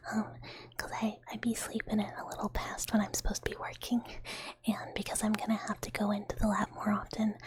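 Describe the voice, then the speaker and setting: A young adult woman streamer chatting casually in a whisper, rambling with lots of fillers and soft giggles. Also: on the high side.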